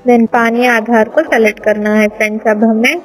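A woman's voice: a little high, level tone, normal speed, faint music behind, poor audio. Woman narrating an app walkthrough. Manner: step-by-step instructional, addressing viewers as friends. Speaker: app tutorial presenter. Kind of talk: screen-recorded app walkthrough. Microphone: voice-over over screen recording.

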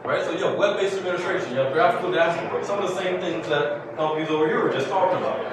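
A man's voice: high voice, quick pace. A man giving a conference keynote as a security researcher, posing rhetorical questions and tagging points with "right?". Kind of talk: conference presentation to an audience. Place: indoor conference room with seated audience. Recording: very echoey room, distant mic, clearly noisy.

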